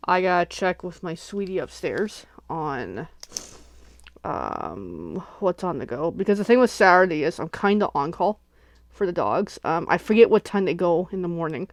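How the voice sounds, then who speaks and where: A woman's voice: fairly low pitch, animated, normal speed, no room sound. A sniffly woman hosting a casual crafting livestream, chatting and thinking aloud as she unboxes and works at home.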